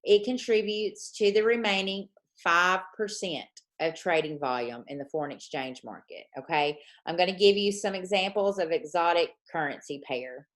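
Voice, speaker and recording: A woman teaching a trading lesson, dictating slowly and repeating points, often checking in with "okay?". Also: lowish voice. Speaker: forex trading coach.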